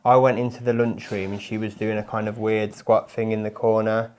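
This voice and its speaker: A man making a prank call, speaking in a deadpan, rambling and hesitant mock-earnest way. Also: on the low side, a little fast, clean tone. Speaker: prank caller. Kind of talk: mock-earnest phone conversation.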